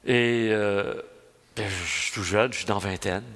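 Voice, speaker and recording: A man's voice: lowish voice, fairly even intonation, unhurried, fairly bright tone, in a large hall. A man in his sixties with a Quebec French accent, preaching a homily at mass in a folksy, storytelling way, full of restarts and asides. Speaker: priest.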